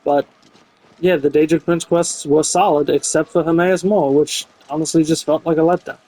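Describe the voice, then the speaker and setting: Higher-voiced man reviewing a game. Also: fairly even intonation, normal speed, darkish tone, poor audio. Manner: frank, opinionated. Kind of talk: casual, unscripted game review commentary. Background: music behind the voice.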